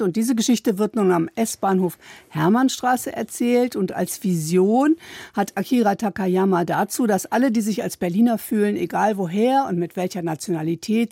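Woman culture reporter on radio, explaining stuff, soft mellow tone with lots of fry, dry sound. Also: medium pitch, no room sound.

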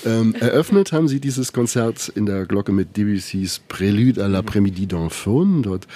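A man's voice: on the low side, animated, dark tone. A man hosting a live radio interview from a studio bus, speaking fluently and articulately while translating his guest. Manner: fluent, articulate, measured with fillers.

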